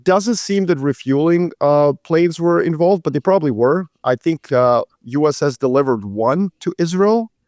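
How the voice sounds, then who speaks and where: A man co-hosting a podcast, laying out news in a measured, analytical way with a few fillers. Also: a little high, lively intonation, darkish tone, dry recording, clean studio sound. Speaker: podcast co-host. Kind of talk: casual current-affairs discussion.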